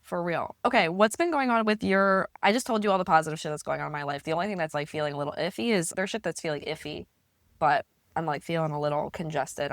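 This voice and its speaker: A woman's voice: low-pitched, gravelly, dry recording. A young woman co-hosting a podcast in a casual, chatty way. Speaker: podcast co-host. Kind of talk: casual chat.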